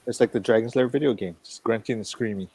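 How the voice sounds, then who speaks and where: A middle-aged man in casual, informal conversation, given to animated exclamations. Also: mid-range voice, fast, a little hiss or hum. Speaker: podcast co-host. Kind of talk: casual chat.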